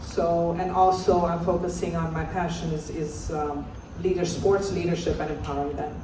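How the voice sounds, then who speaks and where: A woman's voice: on the low side, flat delivery, moderate pace, warm tone, a little hiss or hum. A woman, giving an interactive conference talk as a presenter and encouraging the audience to call out answers. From Romania. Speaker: assistant professor and presenter. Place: large conference hall. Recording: echoey hall, voice far off.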